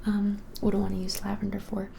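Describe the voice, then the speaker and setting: Young woman, breathy whisper, even intonation, gentle, unhurried, ASMR shopkeeper roleplay, faint noise. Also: mid-range voice. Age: 20s. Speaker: ASMR creator playing a witch shop keeper. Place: small indoor room, plain wall.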